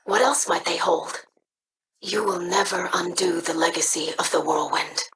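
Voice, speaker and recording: A woman's voice: on the low side, steady tone, normal speed, far from the mic, noisy background, lightly acted. An actress playing an earnest, thoughtful young female alien scholar in a game, speaking formally. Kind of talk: game character dialogue.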